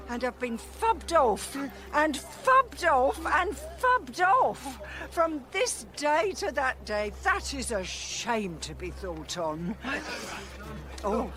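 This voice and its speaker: Shrill, indignant widow. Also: female voice, fairly bright tone, full character voice. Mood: aggrieved. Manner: garrulous, repetitive, exclamatory. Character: indignant, long-suffering poor widow of Eastcheap, scolding and pleading. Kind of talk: radio Shakespeare acting.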